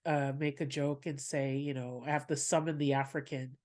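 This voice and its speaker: A woman's voice: rumbling low, little pitch movement, moderate pace, darkish tone. A woman hosting a podcast, chatting casually with a guest, laughing often and rambling through asides. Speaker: podcast host and comedian.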